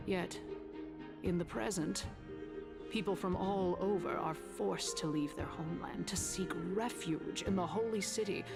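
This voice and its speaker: A woman playing a stately, scheming noble orator in a story game, speaking in a measured, theatrical, formal way. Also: mid-range voice, creaky. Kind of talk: dramatic game voice acting.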